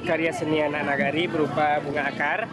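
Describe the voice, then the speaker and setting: A man's voice: a little high, level tone, brisk. A young man interviewed outdoors at an exhibition booth, hesitant with many fillers and restarts. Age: young adult. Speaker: local resident interviewed. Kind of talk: street interview. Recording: loud crowd noise outdoors. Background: busy crowd.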